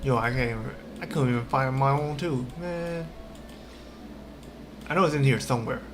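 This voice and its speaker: A man's voice: medium pitch, moderate pace. A man chatting casually on a gaming livestream, rambling and slangy as he talks to himself. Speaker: live streamer. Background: game music.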